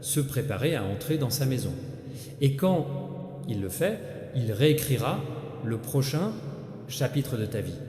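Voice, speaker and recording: Man, dark mellow tone, medium pitch, solemn halting inspirational monologue, heavy echo. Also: big pitch swings, faint music behind. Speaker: narrator of spiritual messages. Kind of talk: scripted inspirational monologue addressed to the listener. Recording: echoey hall with long reverb.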